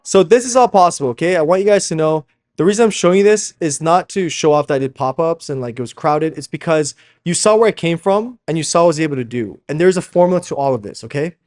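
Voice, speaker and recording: A man's voice: on the high side, fast talker, hardly any pauses, a little mellow. A man, a Slovak speaker, coaching informally and emphatically in a motivational sales video, often checking in with listeners. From Slovakia, moved to Canada as a young child. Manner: emphatic, addresses listeners as guys, constant tag questions. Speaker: fashion brand founder and coach.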